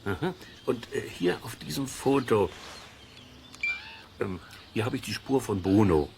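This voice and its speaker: A man's voice: fairly low pitch, a little slow, background noise. A man narrating an educational audio play for children, explaining things simply and conversationally with playful comparisons. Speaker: host and storyteller.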